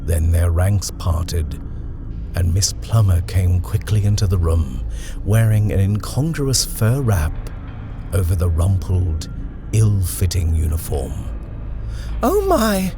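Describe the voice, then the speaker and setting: A man reading a horror story aloud in a measured, expressive narration. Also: sits low. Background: music.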